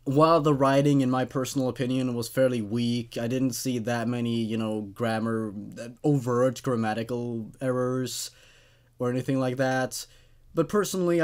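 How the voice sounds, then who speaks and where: A man's voice: medium pitch, darkish tone. A man in his twenties hosting, reading stories aloud and commenting on them in a casual, conversational way. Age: 20s. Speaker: host reading and reviewing horror stories.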